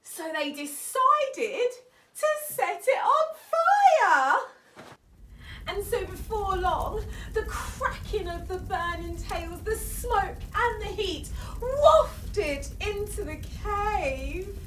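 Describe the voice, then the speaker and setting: A woman hosting a children's story show, telling tales in an expressive way with drawn-out emphasis. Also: very high voice. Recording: roomy, distant mic, faint noise.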